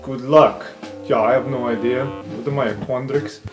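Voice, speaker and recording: A man's voice: medium pitch, flat delivery, normal speed, over music. A man hosting and narrating an improvised tabletop roleplaying game in a casual way, as game master. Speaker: game master and podcast host.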